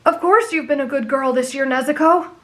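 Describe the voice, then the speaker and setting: A woman's voice: high voice, brisk, natural voice. A woman voicing a kind, earnest teenage boy with a sincere, reassuring big-brotherly manner, in an anime fan roleplay. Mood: tender, reassuring.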